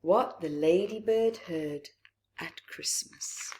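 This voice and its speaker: A woman reading a picture book aloud to children, deliberate and expressive, with long pauses and small asides. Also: on the low side, slight background noise. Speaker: children's storytime reader.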